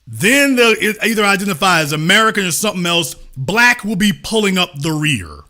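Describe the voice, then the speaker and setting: A man with a high, bright, cutting voice holds forth as a commentary host. He lectures with heavy emphasis and hammers the same point again and again. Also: sing-song, fairly quick. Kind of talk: reacting to an interview clip.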